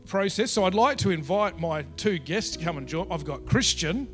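A man with a fairly high voice leads an interview segment during a church service. He rambles and restarts his sentences as he introduces his guests. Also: expressive, normal speed, fairly bright tone, faint music behind.

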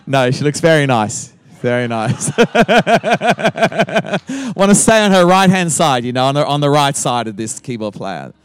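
A man's voice: on the high side, lively intonation, measured pace, slight background noise. A middle-aged man with an Australian accent, a guest pastor opening his sermon with playful teasing and frequent laughter.

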